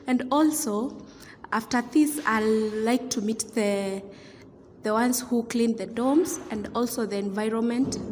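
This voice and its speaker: A woman's voice: fairly high pitch, darkish tone, natural voice. A woman acting as a formal school teacher addressing students in a radio drama. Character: strict but appreciative female school teacher addressing assembled students. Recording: long hall reverb, clear background noise.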